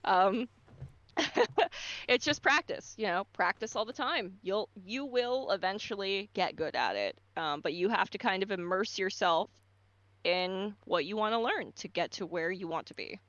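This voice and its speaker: A woman speaking at an average pitch explains her craft at length, often stalling on ums and restarting words. Her mic sounds dry and a little dull, with no room around her. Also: lively intonation, a little slow, fairly bright tone, no echo. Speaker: voice actor guest. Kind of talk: casual advice chat on a livestream.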